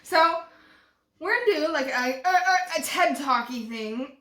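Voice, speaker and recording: A woman's voice: sits high, expressive, measured pace, a bit bright, echoey room. A woman in her early twenties chatting casually at home in her living room, rambling and laughing often. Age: 20s. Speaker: vlogger. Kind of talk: casual chat.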